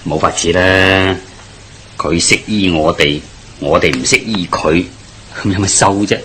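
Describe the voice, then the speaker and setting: A man's voice: deep voice, measured pace, bright, forceful tone, slight background noise. An actor playing a good-natured, nosy village man who jokes around in a Hong Kong Cantonese radio drama. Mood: subdued, reassuring. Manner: chatty, inquisitive, colloquial.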